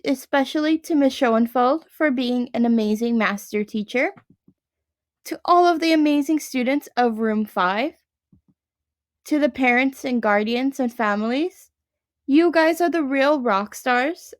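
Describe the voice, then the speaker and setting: A woman's voice: sits high, measured pace. A young adult woman, a first grade teacher, reading a picture book aloud to her class and talking to her students.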